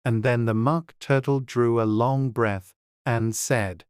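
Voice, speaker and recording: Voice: mid-range voice, fairly even intonation, takes it slowly, a little mellow, smooth, no room sound, clean studio sound. A narrator reading a children's classic as a scripted storybook audiobook.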